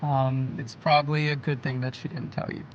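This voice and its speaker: A man playing a kind, patient surgeon in an audio drama, speaking in a gentle, hesitant, amused manner. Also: medium pitch, flat delivery, moderate pace, dull audio, a little hiss or hum, natural voice.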